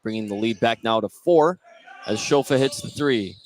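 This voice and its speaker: A man's voice: mid-range voice, lively intonation, normal speed. A man doing live play-by-play commentary of a basketball game. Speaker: play-by-play announcer. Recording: live gym noise behind the voice. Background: gym ambience of a basketball game.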